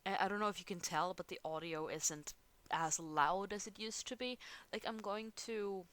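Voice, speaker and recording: A woman's voice: mid-range voice, moderate pace, fairly bright tone. A woman from Sweden with a Swedish accent, speaking English as a second language, chatting casually into a close headset mic while she draws. She rambles and laughs at herself often. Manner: rambling, giggly, self-deprecating. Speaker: art channel host. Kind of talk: casual chat over drawing. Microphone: headset close to mouth.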